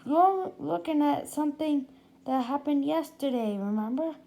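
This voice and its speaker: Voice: sits high, lively intonation, unhurried, vocal fry, dark tone, voice pushed up, exaggerated voice. A storybook reader voicing a smug boy inventor who is proud of himself and repeats his phrases.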